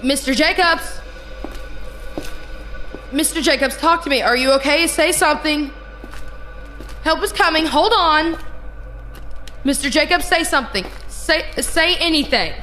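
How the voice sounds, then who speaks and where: A woman's voice: on the high side, lively intonation, normal speed, a bit bright, soft background music. A woman playing a weary, bitter psychiatric ward nurse with an emphatic manner in a horror audio drama. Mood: alarmed. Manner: exasperated, emphatic.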